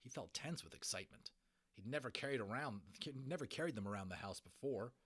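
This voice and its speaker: A man reading a children's novel aloud as a dramatic, expressive narrator. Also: mid-range voice, fairly quick, breathy edge. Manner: expressive, theatrical. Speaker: audiobook reader.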